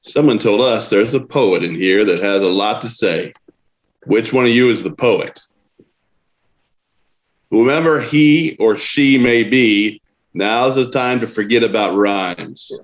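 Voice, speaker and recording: A man's voice: lowish voice, a little slow, close to an everyday voice. Casting: male actor as an intimidating official, muffled lo-fi recording, drama read. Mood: menacing. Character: menacing male government agent, officious and threatening. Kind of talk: screenplay table read. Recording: dull, lo-fi sound.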